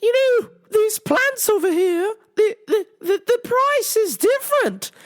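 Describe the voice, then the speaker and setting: A woman mimicking a shrill whiny complaining customer. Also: lively intonation, measured pace. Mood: outraged whining. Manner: whiny, indignant, high-pitched.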